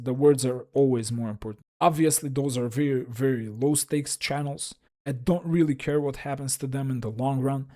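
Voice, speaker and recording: Russian-accented man, mellow and dark, self-deprecating creator talking straight to camera. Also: mid-range voice. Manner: frank, wry, self-deprecating. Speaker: YouTube creator. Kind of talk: solo explainer talk.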